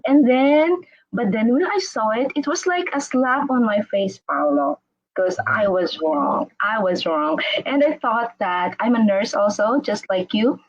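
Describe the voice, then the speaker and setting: Woman, interview host, raised pitch, excited chatty delivery, muffled video call audio. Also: measured pace. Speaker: host interviewing a guest on a livestream. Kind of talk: casual live interview chat. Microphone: live video call.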